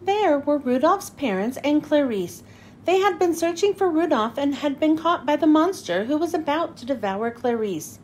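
A woman reading a children's storybook aloud in an expressive, animated, emphatic way. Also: high-pitched, moderate pace, slight background noise. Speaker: children's book reader.

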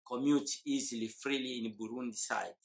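A man's voice: medium pitch, fairly even intonation, moderate pace, creaky. A man in his forties from eastern Congo, explaining at length and thoughtfully in an oral history interview, with hesitant fillers, recorded indoors on a lapel mic. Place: indoor room.

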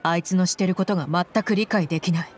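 A woman's voice: lowish voice, quick pace, slight background noise, lightly acted. An actress playing a proud young female mercenary bodyguard in an anime game, blunt and terse, tough but wounded. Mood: angry, hurt. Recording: soft music behind the voice.

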